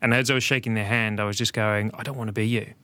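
A man's voice: on the low side, fast talker, no room sound. A man in his thirties with a New Zealand accent, a guest in a studio interview, talking easily with lots of ums. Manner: relaxed, many ums. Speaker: podcast guest. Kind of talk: casual interview.

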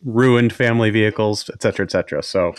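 A man's voice: on the low side, fast talker, clear, resonant tone, no room sound, studio quality. A man hosting a podcast with a slight New England accent and a faint nasal whine, chatting casually and rambling with many restarts. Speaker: podcast host. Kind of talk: casual interview chat.

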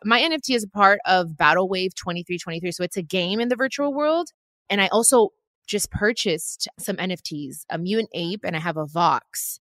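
A woman's voice: on the low side, lively intonation, a little fast, a bit bright, no room sound. Bubbly, enthusiastic woman podcast host. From Mexico. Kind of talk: casual interview chat.

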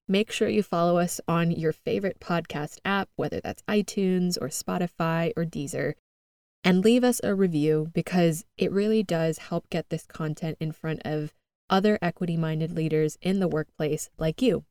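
A woman's voice: on the low side, moderate pace, a little mellow, dry recording. An American woman hosting a podcast, reading an earnest, upbeat scripted monologue with light laughs. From United States. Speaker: podcast host.

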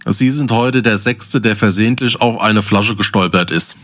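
A man's voice: fairly low pitch, moderate pace, fairly bright tone. A man talking casually as a podcast co-host, blunt, opinionated and colloquial.

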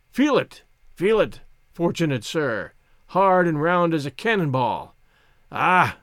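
Male reader as wily old French veteran, storybook character, high pitch, animated swoops, theatrical flattery. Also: no room sound, studio quality. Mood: boisterous, flattering. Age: older. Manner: grandiloquent, exclamatory flattery.

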